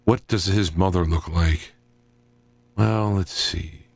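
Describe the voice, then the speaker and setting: A middle-aged man hosting an informal solo talk radio show, speaking in a halting, digressive way as he thinks aloud. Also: sits low, creaky, darkish tone.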